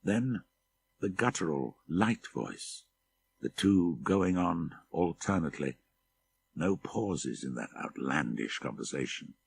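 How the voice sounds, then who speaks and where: A man reading a horror story as an audiobook narrator, measured and deliberate, with dramatic emphasis. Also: low-pitched, very animated, vocal fry, breathy edge.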